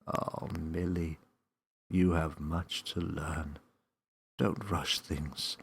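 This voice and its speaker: A man as a deep, calm, slow spirit. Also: creaky, slight background noise, full character voice. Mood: gentle, wise. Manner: unhurried, gentle, solemn. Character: strong, calming, wise, all-surrounding male spirit voice.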